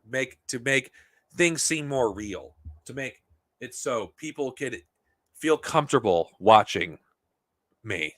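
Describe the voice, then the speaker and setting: A man hosting a podcast, talking off the cuff to his viewers in a heated, profane, rambling way, his voice getting worn and hoarse. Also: mid-range voice, dry recording, studio quality. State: losing his voice. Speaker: podcast host. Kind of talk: unscripted monologue to viewers.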